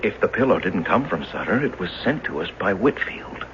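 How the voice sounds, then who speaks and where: Urgent everyman, low voice, animated swings, 1970s radio play, dull hissy recording. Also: fairly quick. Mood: puzzled, insistent. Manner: urgent, insistent. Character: earnest, agitated ordinary man facing the supernatural. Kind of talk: 1970s radio drama acting.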